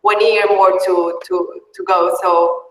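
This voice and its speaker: A woman's voice: on the low side, little pitch movement, slow pace, reverberant, long reverb tail, recorded from a distance, low-quality recording. A woman hosting an interview over a call, friendly and laughing often, speaking English with some hesitation. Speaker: interview host. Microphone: video call.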